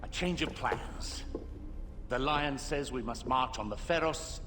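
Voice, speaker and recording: Male actor as military schemer, cold, menacing, unhurried, game drama, reverberant, loud score. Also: mid-range voice, natural voice. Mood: cold, scheming. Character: cold, menacing military conspirator plotting a trap. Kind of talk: slow dramatic game acting. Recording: reverberant, under loud music.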